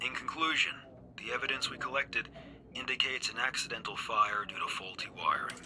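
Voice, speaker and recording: A man playing a weary investigator in a video game, dictating a report in a halting, formal, reluctant way. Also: medium pitch, sharp tone, slight background noise, low-quality recording. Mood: reluctant, resigned. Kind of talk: dramatic video game acting.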